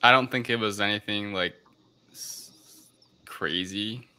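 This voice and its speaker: A deep-pitched man with a bright, forward edge to his voice talks casually on a podcast. His delivery is informal, moving along in halting bits. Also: smooth. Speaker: podcast panelist reviewing films. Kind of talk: casual discussion.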